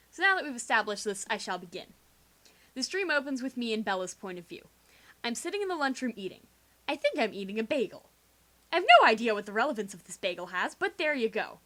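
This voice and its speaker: Bright, high-voiced young woman storyteller, sarcastic. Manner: theatrical, sarcastic, slangy, acts out quoted dialogue. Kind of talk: dramatic reading of a comic dream account. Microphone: video game microphone with improvised pop filter. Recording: quiet homemade rig with game microphone.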